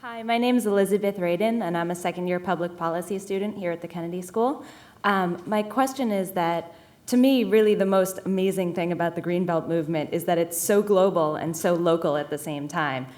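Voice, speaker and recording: A woman's voice: lowish voice, fairly quick, a little mellow. A woman in her twenties, a graduate student, asking an audience question in a thoughtful, articulate way.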